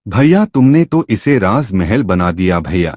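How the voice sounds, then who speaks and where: A man's voice: on the low side, sing-song, a little slow, darkish tone, no echo. A man voicing a curious, humble village man in a folk tale, chatty and wheedling. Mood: astonished. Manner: wheedling, repeats "brother".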